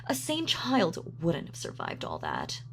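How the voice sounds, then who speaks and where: A woman's voice: on the low side, huge pitch swings, unhurried, vocal fry, a little hiss or hum, full character voice. A woman playing a gloating, sadistic supervillain in an audio roleplay, with a mock-sweet, sardonic, taunting manner. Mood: smug, sardonic. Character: gloating female supervillain, sadistic, darkly playful. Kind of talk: villain monologue in audio roleplay.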